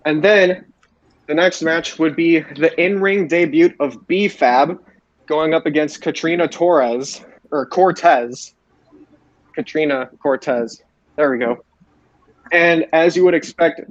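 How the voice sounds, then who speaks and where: A man's voice: fairly high pitch, unhurried, dry recording, lo-fi sound. A man hosting a podcast, recapping wrestling shows for his co-hosts. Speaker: podcast host.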